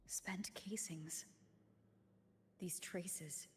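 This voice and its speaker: An actress playing a serious, determined young heroine in a video game, speaking in an earnest, deliberate way. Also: female voice, fairly low pitch, steady tone, whispered, big-room sound. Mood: puzzled, serious.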